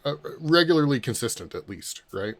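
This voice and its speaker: A man hosting a panel podcast in a casual, conversational way, often pausing to find his words. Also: mid-range voice, very animated. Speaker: podcast host leading a panel.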